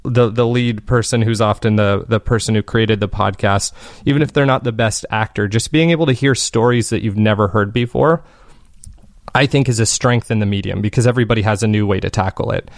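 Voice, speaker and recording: A man with a fairly low voice talks as a guest in an interview. He explains his creative work in a thoughtful, casual way. The sound is close and dry. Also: a little fast, dry recording. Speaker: podcast creator being interviewed.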